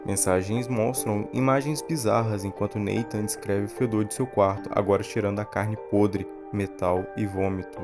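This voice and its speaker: A man's voice: fairly low pitch, steady tone, moderate pace, hardly any pauses. A man with a Brazilian accent giving scripted video-essay narration and analysis. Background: background music.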